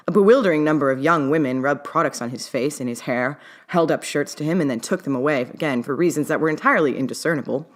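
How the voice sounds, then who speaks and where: A woman author reading her own story aloud in an old-timey mid-Atlantic accent, in the style of an audiobook. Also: sits low. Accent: mid-Atlantic, old-timey English-leaning. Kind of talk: audiobook-style narration of fan fiction.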